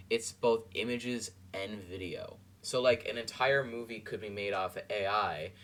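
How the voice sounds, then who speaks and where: A man hosting a podcast, reacting to a clip in a rambling, halting way with many fillers. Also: on the low side, a bit bright. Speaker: podcast host. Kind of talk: casual commentary over a clip.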